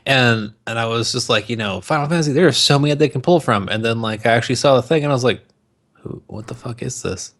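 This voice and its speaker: A man's voice: mid-range voice, quick pace, a bit bright. A man co-hosting a podcast, joking casually about films in crude, slangy language. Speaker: podcast co-host.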